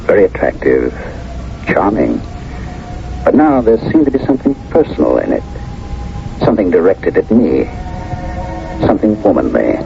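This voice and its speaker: An actor playing a reserved American businessman in his fifties in a radio drama, measured and reflective, who also narrates his own story. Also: low voice, vocal fry, mellow, full character voice. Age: 50s. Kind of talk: 1970s radio drama acting and first-person narration. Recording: dull, noisy 1970s radio recording.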